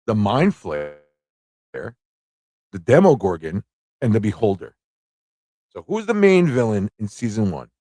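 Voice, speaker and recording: A man with a mid-pitched voice hosts a live quiz in a loose, conversational way. He reads questions aloud, repeats them and chats with viewers, and the sound is close and dry. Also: a little mellow, no room sound. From United States. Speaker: livestream host running a trivia quiz. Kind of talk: casual live quiz hosting, chatting with viewers.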